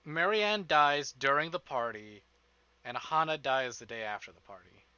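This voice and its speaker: A man giving casual, profane livestream commentary on a game, expressive and often talking to himself. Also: mid-range voice, moderate pace, clear, resonant tone, no echo, highs cut off. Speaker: streamer playing a visual novel. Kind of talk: casual livestream commentary with dramatic read-aloud of game text. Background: game music.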